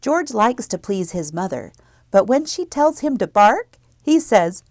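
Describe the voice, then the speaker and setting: A woman's voice: medium pitch, sing-song, unhurried, darkish tone, no echo. Woman reading a script, close and dry. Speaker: library presenter. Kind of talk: scripted announcement and book reviews.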